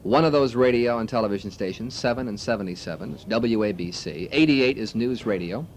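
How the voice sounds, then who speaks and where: Male, mid-pitched radio manager in candid interview, detailed explainer, slightly noisy old tape with rumble. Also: expressive, a little fast. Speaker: radio station program manager being interviewed.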